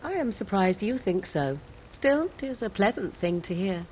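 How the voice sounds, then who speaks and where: A woman's voice: medium pitch, expressive, normal speed, darkish tone, a little hiss or hum, natural voice. An actress playing a haughty, sardonic witch in a fantasy video game, speaking in archaic, formal phrases. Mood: cool approval. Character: haughty, sardonic witch woman, aloof and wary.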